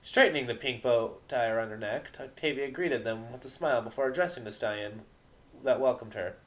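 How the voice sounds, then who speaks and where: A man's voice: mid-range voice, a little fast. A man narrating a story in an amateur dramatic reading.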